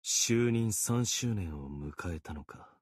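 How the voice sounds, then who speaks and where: A man voicing a gloomy, self-deprecating young warrior sword spirit in a video game, brooding and resigned, with a husky voice. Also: expressive, dry recording, studio quality, full character voice. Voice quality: low, husky. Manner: brooding, resigned, muttering with trailing pauses. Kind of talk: Japanese video game character acting.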